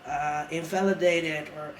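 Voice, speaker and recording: An earnest man with a high voice talks reflectively, stumbling over restarts as he thinks. He sounds far from the mic in an echoing room, with a faint hiss underneath. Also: steady tone, unhurried. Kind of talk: casual conversation. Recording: roomy sound from a distant mic.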